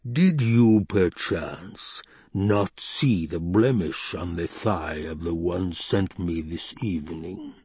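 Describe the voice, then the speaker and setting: A man voicing a menacing obese baron who speaks slowly in a silken tone and then roars. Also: deep voice, darkish tone, husky, no echo, heavily acted. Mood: silken menace.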